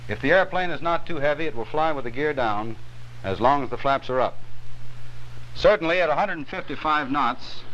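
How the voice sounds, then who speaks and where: A man with an American accent gives scripted instructional narration for a 1950s training film, in a measured, authoritative way. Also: fairly high pitch, fairly bright tone. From United States. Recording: dull, noisy 1950s film soundtrack.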